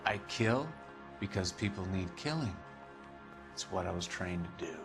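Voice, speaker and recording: An actor playing a bitter, defiant American vagrant and ex-Marine killer in a video game, speaking in cold, contemptuous pronouncements. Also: male voice, low voice, expressive, normal speed, music in the background. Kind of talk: video game cutscene acting.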